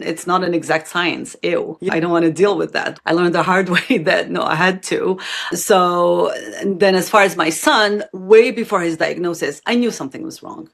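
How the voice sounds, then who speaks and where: A woman in her fifties from Algeria speaks in a fairly low voice, answering interview questions. She explains things in an earnest, matter-of-fact way and lays out each point step by step. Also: creaky. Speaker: guest.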